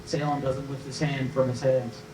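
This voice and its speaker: A man's voice: medium pitch, level tone, moderate pace, darkish tone, a little hiss or hum. A man reading screenplay stage directions as scripted narration at a live table read, picked up by a distant microphone.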